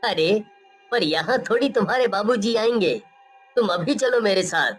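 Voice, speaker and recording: A woman's voice: medium pitch, lively intonation, moderate pace, slightly rough, faint music behind, voice pushed down. A woman voicing a friendly, persuasive young man who runs a dance school in a cartoon story, coaxing and admiring. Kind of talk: Hindi cartoon story acting.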